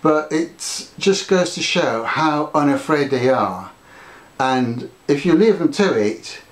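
A man's voice: a little high, lively intonation, slow pace, roomy sound. An older man chatting to camera about his garden, telling a story and gesturing with his hands. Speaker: gardening vlogger. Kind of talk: casual talk to camera. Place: home conservatory, indoors.

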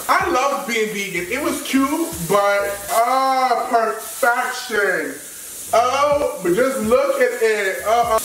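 A young man in his twenties cooking in a home kitchen, talking in a sassy, flamboyant, animated way and swearing freely. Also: high voice, a little hiss or hum. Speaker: vlogger cooking. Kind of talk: casual cooking vlog. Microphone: camera across the kitchen. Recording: echoey kitchen, mic at a distance. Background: food sizzling in a pan.

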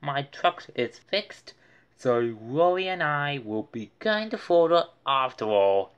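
A woman's voice: low-pitched, very animated, slow, smooth, highs cut off, heavily acted. Woman reading as a friendly, slightly raised-voice uncle. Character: easygoing, joking uncle in a storybook.

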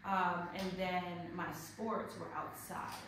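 A young woman from Connecticut tells stories with animated humor as a panelist. Her voice comes from across a strongly echoing gallery, distant from the mic, with noticeable background noise. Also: on the low side, slow. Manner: lively storytelling, animated and humorous. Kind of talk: panel discussion. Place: art gallery. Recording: echoey gallery from far off with noise.

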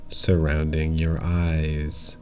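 A man guiding a yoga nidra relaxation in calm, measured instructions, leaving long pauses between short phrases. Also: very deep voice, slight background noise. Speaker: yoga nidra meditation guide. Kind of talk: guided meditation, slow calm instruction.

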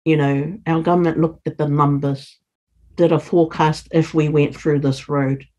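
A woman's voice: deep voice, steady tone, moderate pace, dark tone. A middle-aged woman speaking earnestly as a guest on a video call, urging listeners on. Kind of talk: earnest interview talk.

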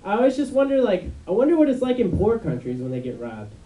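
A young man with a high, unmasculine voice doing a rambling stand-up set on a club stage, with many fillers and restarts. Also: wildly swooping pitch, fast talker, mellow. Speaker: stand-up comedian. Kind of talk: stand-up routine. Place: dark club stage before a live audience. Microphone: handheld mic on a stand. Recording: echoey room, mic from far off.

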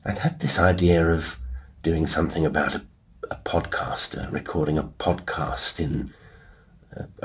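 Deep, very husky British man. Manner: self-deprecating, rambling, wry. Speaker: horror podcast host and writer. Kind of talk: informal solo podcast commentary. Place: quiet room with a piano at work. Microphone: wireless Bluetooth mic into a phone.

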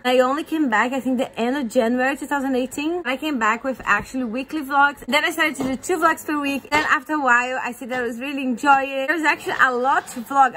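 A woman's voice: sits high, fairly bright tone. A young woman from Brazil with a Brazilian accent, a native Portuguese speaker, chatting casually to camera as a daily vlogger. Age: young adult. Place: indoors at home, kitchen and living room. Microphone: phone at arm's length. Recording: noisy home with appliance and child sounds.

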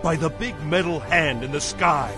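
An actor playing men of a fantasy kingdom in a video game, from peasant to priest. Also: male voice, on the high side, normal speed, over music, lightly acted. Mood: solemn. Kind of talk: video game character barks.

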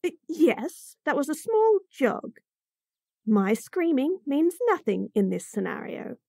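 A woman's voice: sits high, sing-song, slow pace, gravelly, dark tone, dry recording, clean studio sound, full character voice. A woman voicing a bratty, flustered young bunny girl who stammers and giggles nervously in a roleplay audio.

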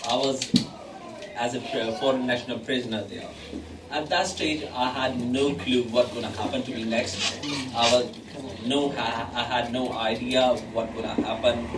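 A man from Pakistan in his thirties, speaking English as a second language, addressing a crowd at an art gallery in an earnest, halting way. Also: medium pitch. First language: not English. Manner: earnest, halting non-native phrasing. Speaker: former detainee speaking at an event. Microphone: far camera. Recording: roomy, distant, loud crowd noise.

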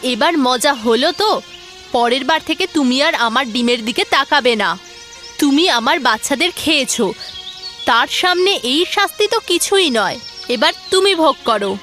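A woman's voice: sits high, animated, normal speed, fairly bright tone. A woman voicing a kind but firm mother bird in a cartoon fairy tale, speaking earnestly and emphatically. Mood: scornful, triumphant. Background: music.

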